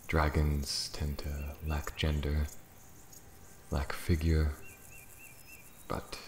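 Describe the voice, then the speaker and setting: A man voicing an ancient, proud and amused dragon in elven-looking mortal form, in a slow, deliberate fantasy ASMR roleplay. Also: a bass voice, a little hiss or hum, exaggerated voice. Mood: proud, reflective. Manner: slow, deliberate, theatrical, breathy near-whispers at times. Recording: roomy echo, faint nature ambience with crickets.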